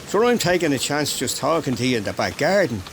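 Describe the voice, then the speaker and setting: A man's voice: mid-range voice, sing-song, a little fast, vocal fry, fairly bright tone, a little hiss or hum. A man playing a cagey, folksy family man in a radio comedy, breezy and colloquial, with an Irish accent. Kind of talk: comedy radio drama acting.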